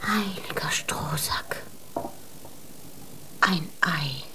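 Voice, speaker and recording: A woman's voice: sits low, extremely slow, whispered. A woman playing a dry, wondering queen and wife in a children's radio play, terse and theatrical. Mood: dry, puzzled. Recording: clear background noise.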